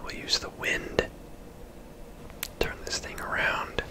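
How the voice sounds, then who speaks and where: Casting: ASMR game streamer, fully whispered, casual and chatty play-by-play. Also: slow pace.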